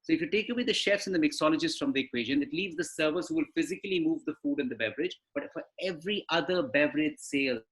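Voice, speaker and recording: A man's voice: a little high, quick pace, few pauses, a bit bright. Man giving a webinar talk. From India. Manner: conversational, explanatory, asks rhetorical questions. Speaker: webinar panelist. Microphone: video call.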